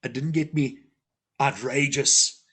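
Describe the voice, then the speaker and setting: A man's voice: medium pitch, steady tone, slow pace, a bit bright, breathy edge. A man, hosting a casual interview and asking questions in an earnest way. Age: 40s. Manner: earnest, with frequent short agreeing replies. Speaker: interviewer, host.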